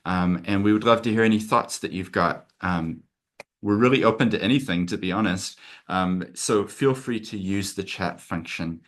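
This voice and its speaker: A man's voice: low voice, normal speed, fairly bright tone. A middle-aged man from America, a lawyer hosting a webinar over a video call, talking in an earnest, open, conversational way.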